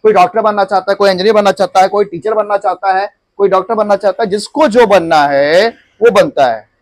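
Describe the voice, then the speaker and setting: A man teaching English in to a class, repeating each phrase slowly for students to echo. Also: sits high. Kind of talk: classroom English lesson in Hindi.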